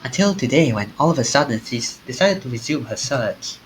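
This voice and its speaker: A man streaming a game, reading its story text aloud in an animated way. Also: mid-range voice, brisk, slight background noise, rough recording. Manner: animated, acts out the lines.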